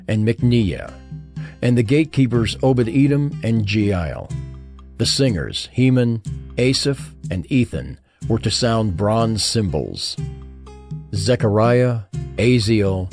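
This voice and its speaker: Brief: male narrator, fairly low voice, deliberate scripture reading over clear plucked-string music. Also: darkish tone. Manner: formal, deliberate.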